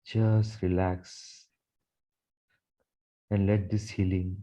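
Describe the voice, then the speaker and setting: Slow, low, mellow man guiding meditation. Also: flat delivery. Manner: soothing, measured, repetitive phrasing. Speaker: guided meditation leader, Reiki teacher. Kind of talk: guided meditation narration.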